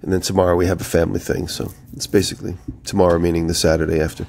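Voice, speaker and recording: A man's voice: low voice, level tone, rapid-fire, vocal fry, a little mellow, slight background noise. A man co-hosting a podcast in casual chat, telling rambling anecdotes, slightly nasal from a head cold. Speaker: podcast co-host.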